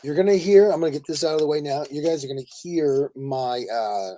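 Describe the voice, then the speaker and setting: A man hosts a casual livestream in a somewhat higher-pitched voice that is raspy and worn from too much talking. He rambles along, effusive and jokey, addressing his viewers like old friends. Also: brisk, dark tone. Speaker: livestream host. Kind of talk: casual chat with live viewers.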